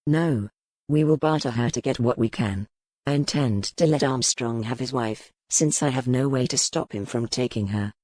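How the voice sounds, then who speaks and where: A man playing a grim, weary, commanding medieval duke in an audiobook, speaking in formal, measured phrases. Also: mid-range voice, a little mellow, dry recording, natural voice. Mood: grim, resolute. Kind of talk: dramatized audiobook dialogue.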